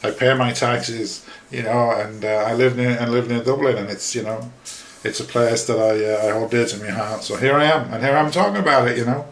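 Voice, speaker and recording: A man's voice: mid-range voice, fairly even intonation, a little fast, a bit bright, slight background noise. A middle-aged Englishman from Yorkshire with a Yorkshire accent touched by Liverpool, hosting a podcast in a casual, rambling, self-deprecating way with lots of fillers. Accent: Yorkshire English with a slight Liverpool tinge. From Yorkshire, England. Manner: chatty, self-deprecating, many fillers and restarts. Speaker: podcast host. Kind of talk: casual rambling talk over a screen-shared map. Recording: echoey room.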